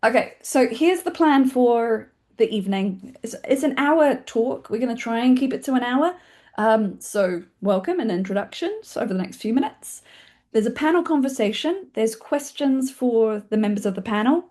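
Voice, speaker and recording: Kiwi woman running an online panel, talks fast, chatty and casual, pokes fun at herself. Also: fairly high pitch, animated, darkish tone. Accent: New Zealand. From New Zealand. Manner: fast-talking, chatty, self-deprecating, questioning okay check-ins. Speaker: webinar host and film community organiser. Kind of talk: informal spoken webinar presentation. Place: online video call.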